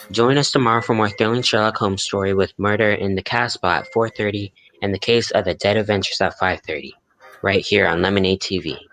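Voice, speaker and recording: A man's voice: fairly low pitch, fairly bright tone, slight background noise, poor audio. A man playing an eager, folksy announcer in the scripted style of 1940s radio.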